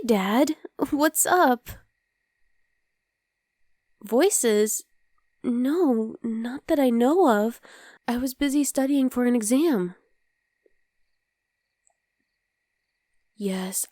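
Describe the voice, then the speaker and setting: A young demon girlfriend, acted by a woman in an intimate ASMR roleplay. Her voice is fairly high yet very mellow and soft, recorded close and dry. She comes across as a sweet, exasperated, rebellious daughter confiding in her partner. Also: no room sound. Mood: nervous, pleading. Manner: intimate, soft, often dropping to a whisper.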